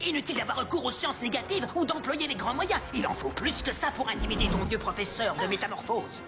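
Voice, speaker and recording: A woman's voice: fairly high pitch, lively intonation, fast. A woman playing an old, unflappable cartoon magic professor with a theatrical, schoolmasterly manner. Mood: calm, confident. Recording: loud music and crowd noise behind.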